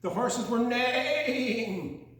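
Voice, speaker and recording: A man's voice: very high-pitched, drawn-out words, fairly bright tone. A man telling a rhyming children's story playfully, stretching words into singsong and dropping in chatty asides and animal noises. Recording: very echoey room.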